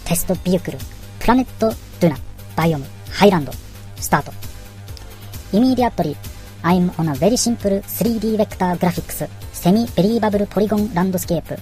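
A lower-pitched woman speaks in English with a Japanese accent. She reads her listener letter in an earnest, polite and careful way, as soft music plays behind her. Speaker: listener sending an audio letter. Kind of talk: read-out audio letter.

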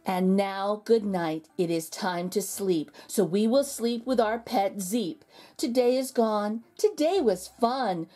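A woman reading a children's storybook aloud in a deliberate, rhythmic, expressive manner. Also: medium pitch. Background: background music.

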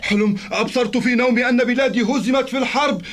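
A man's voice: very high voice, steady tone, fast, a bit bright. A man voicing a jittery, bossy city governor in a cartoon dub, giving agitated, repetitive commands. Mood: agitated, commanding. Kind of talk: theatrical cartoon dubbing.

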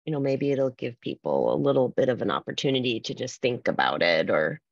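A woman's voice: extremely low pitch, very animated, a little fast, no room sound. A woman presenter chatting casually with colleagues on a video call. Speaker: conference presenter. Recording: dull video-call audio.

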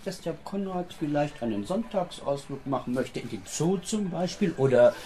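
Guy acting polite hopeful man in a radio play, soft mellow tone, bit on the high side, little background noise. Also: lively intonation, normal speed, close to an everyday voice. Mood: tentative, hopeful. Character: courteous, hopeful pharmacist family friend, adult man.

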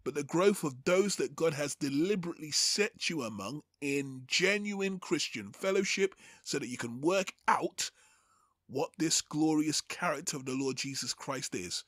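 Male Bible teacher, slightly high voice, emphatic and deliberate sermon delivery, close dry sound. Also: animated, fairly bright tone, dry recording. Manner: deliberate, emphatic, phrase-by-phrase delivery with rhetorical questions.

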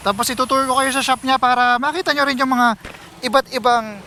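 High, bright-voiced Filipino man vlogging. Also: steady tone, a little fast, slight background noise. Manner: conversational, mixing in English phrases. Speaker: motorcycle vlogger. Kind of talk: casual vlog chat to viewers while riding. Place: outdoors, riding a motorcycle on city roads.

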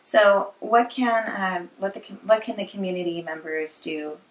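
A woman's voice: medium pitch, normal speed. A young American woman in her twenties hosting an interview in a casual, chatty style. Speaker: interviewer, host. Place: living room with books, indoors. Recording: roomy, echoey living room.

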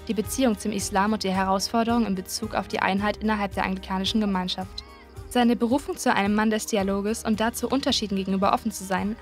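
A woman voices documentary narration with a mid-range pitch and steady, informative delivery. Soft music sits faintly beneath her voice. She reads in a clear, scripted manner. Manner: even, informative reading.

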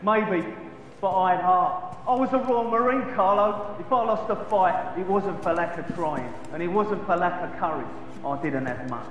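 Proud boxer, echoing hall. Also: male voice, high-pitched, fairly bright tone, dull audio. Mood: wounded pride. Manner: bitter, deliberate. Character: proud, wounded washed-up male boxer. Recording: echoey theatre hall, faint noise.

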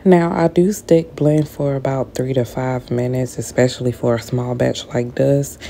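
A woman's voice: rumbling low, steady tone, normal speed, vocal fry, mellow, faint music behind. A woman, narrating a skincare tutorial in a casual, explanatory voice-over, sounding stuffy as she gets over an illness. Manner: casual, explanatory, addresses viewers directly.

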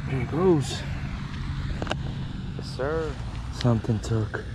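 A man giving casual running commentary to viewers while fishing at a park pond, getting excited over each catch. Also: mid-range voice, takes it slowly. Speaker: fishing vlogger. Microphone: head-mounted action camera. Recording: outdoor camera audio with noise.

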